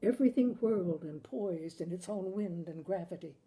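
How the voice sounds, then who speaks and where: A woman reading a literary short story aloud with expressive, dramatic delivery. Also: fairly low pitch, normal speed. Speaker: story reader, show host. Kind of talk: literary audiobook-style story narration.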